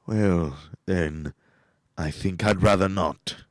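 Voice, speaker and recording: A man playing a nervous, crotchety old miser in a radio play, husky and faltering, with a theatrical manner. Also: deep voice, slow, no room sound, clean studio sound. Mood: reluctant.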